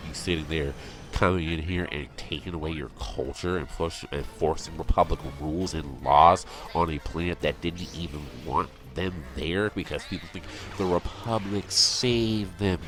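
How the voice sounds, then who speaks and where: A man's voice: deep voice, normal speed, a bit bright, faint music behind. A man delivering an opinionated fan rant as an online commentator, insistent, repetitive and exasperated in manner.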